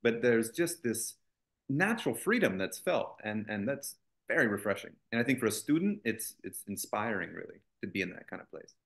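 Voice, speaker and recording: A man's voice: on the low side, sing-song, brisk, a bit bright. A man, a college executive as interview guest, speaking fluently with confident, joking ease. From United States. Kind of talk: interview conversation.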